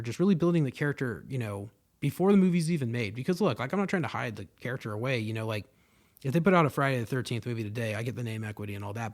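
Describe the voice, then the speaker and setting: Fast-talking, rambling young man podcasting. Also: mid-range voice. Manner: loose, rambling, heavy swearing and fillers. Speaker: podcast host. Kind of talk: casual solo podcast monologue. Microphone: podcast microphone.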